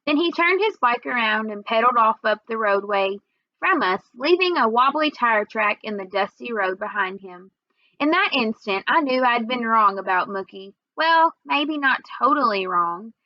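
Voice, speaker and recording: Female storybook reader, lightly high voice, narrating for children on a close, slightly muffled recording. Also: animated, normal speed, no room sound. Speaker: read-aloud reader.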